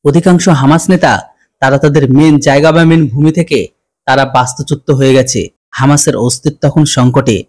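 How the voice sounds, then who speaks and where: A man's voice: on the high side, steady tone, brisk, darkish tone. A young man presents news commentary as the host of an online reaction video. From India.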